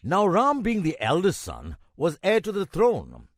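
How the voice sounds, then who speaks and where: A man playing a kindly old grandfather in a children's cartoon, narrating a legend in a measured, expressive way with emphatic pauses. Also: high-pitched, no room sound, studio quality, exaggerated voice. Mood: patient storytelling.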